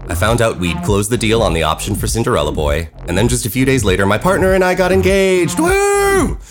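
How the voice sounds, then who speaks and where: A man hosting his own podcast, talking candidly and quickly in a soothing radio voice. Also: medium pitch, wildly swooping pitch, over music. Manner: fast-talking, conversational, candid. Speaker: podcast host. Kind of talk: personal podcast narration.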